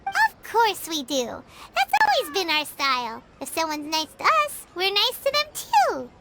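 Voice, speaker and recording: A woman voicing a tiny, excitable, food-loving fairy companion in a video game, with a squeaky childlike voice and a bouncy, blunt way of talking. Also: measured pace, very noisy, exaggerated voice. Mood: reassuring. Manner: bouncy, blunt, talks about herself by name. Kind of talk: video game cutscene dialogue. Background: game music.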